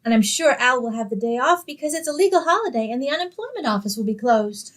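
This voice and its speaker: Radio comedy ingenue played by a woman: dim, sweet, naive young blonde, very high lively voice. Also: fast talker. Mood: dreamy, delighted. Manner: naive, earnest, guileless. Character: sweet, dim-witted, literal-minded young blonde roommate. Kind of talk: old-time radio comedy acting.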